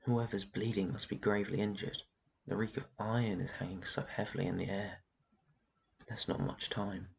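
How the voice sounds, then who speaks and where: A man's voice: fairly low pitch, little pitch movement, normal speed, gravelly, a little hiss or hum. A man playing a brooding, protective vampire in an intense fantasy ASMR roleplay. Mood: alarmed. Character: brooding protective male vampire guarding his human flock.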